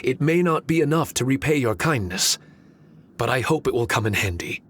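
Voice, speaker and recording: Polite male spirit played by a man for a game: mid-range, formal, calm and earnest, faint noise behind. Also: medium pitch, moderate pace, natural voice. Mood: grateful. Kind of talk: video game voice acting.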